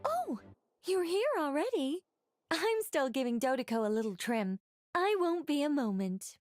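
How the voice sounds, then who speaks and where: A playful angel from a video game, speaks in a high, lively voice. She sounds coy and airy, thoroughly pleased with herself. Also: unhurried, a little hiss or hum. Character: playful, self-assured female angel.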